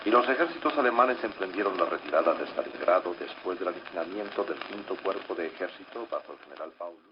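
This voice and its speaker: A man's voice: low-pitched, expressive, fast talker. An actor playing a grave, brooding man in a vintage radio drama. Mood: grave, recounting. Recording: muffled, very noisy vintage radio recording.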